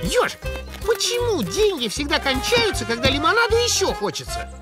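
A woman voicing an excitable, cheeky boyish young rabbit in an animated cartoon, with a bouncy, exclamatory manner. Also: high-pitched, normal speed, over music. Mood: wistful, doubtful.

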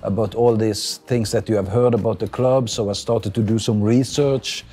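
A man in his forties from Norway with a Norwegian accent, a football coach speaking calmly in an interview, with frequent fillers and restarts. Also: fairly low pitch, normal speed, husky, soft background music. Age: 40s. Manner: calm, thoughtful, many fillers and restarts. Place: outdoor stadium stands.

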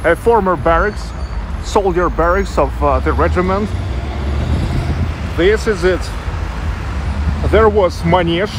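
A man's voice: high-pitched, slow pace, long pauses. A man in his thirties with a Russian accent, guiding a live walking tour outdoors. He speaks English as a second language, loosely, hunting for words and correcting himself as he goes. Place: busy city street outdoors. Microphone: handheld selfie camera mic. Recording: outdoor street with loud traffic noise.

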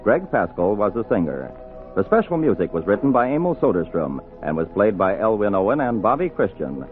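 A man's voice: on the low side, very animated, a little fast, soft background music. A man announcing on 1940s radio, reading scripted material in a formal, declamatory style. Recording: tinny, band-limited 1940s radio broadcast.

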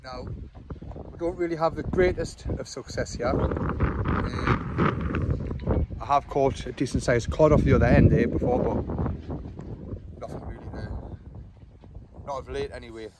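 A man's voice: medium pitch, a little slow, long pauses. A man in his thirties with a North East English accent, chatting casually as he presents an outdoor fishing vlog on a phone. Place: outdoors on a riverside wooden jetty. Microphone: phone at arm's length. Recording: windy outdoor phone recording, loud noise.

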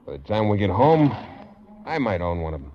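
An actor playing a tough, sardonic working-class man adrift in a lifeboat in a classic radio drama, gruff and blunt. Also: lowish voice, sing-song, fairly quick. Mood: sardonic, needling. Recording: muffled old radio recording.

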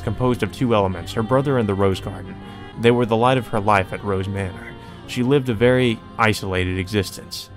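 Man, lowish voice, let's play narration, casual and colloquial, game music underneath. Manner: informal, colloquial. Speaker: let's player reading and commenting. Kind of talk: visual novel read-aloud with casual commentary.

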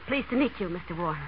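An actress playing a spoiled, candid, headstrong young runaway heiress in a 1930s radio drama. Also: female voice, on the low side, a little hiss or hum, close to an everyday voice. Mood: drowsy, curious. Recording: muffled, dull 1930s radio broadcast.

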